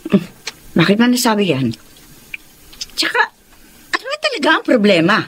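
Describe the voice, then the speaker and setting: A woman's voice: medium pitch, huge pitch swings, normal speed, slight background noise. An actress playing a concerned mother questioning her grown son in a Filipino radio drama, probing and exasperated, with a Filipino accent.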